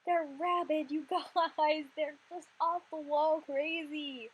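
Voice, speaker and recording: A young woman in her twenties, slightly hoarse from losing her voice, chatting casually over gameplay in a rambling, filler-heavy way. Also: high voice, steady tone, slow pace. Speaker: Sims content creator.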